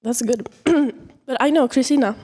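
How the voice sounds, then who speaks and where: A woman's voice: high voice, moderate pace, mellow. An eighteen-year-old woman from Sweden, hosting a casual student podcast and leading the questions in a friendly way. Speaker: podcast host.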